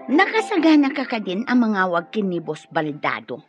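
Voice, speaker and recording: A woman's voice: medium pitch, big pitch swings, moderate pace, faint music behind, lightly acted. An actress playing a greedy, conniving woman who speaks insistently in an Ilocano radio drama. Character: scheming, greedy woman urging her male accomplice on. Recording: dull lo-fi radio drama sound.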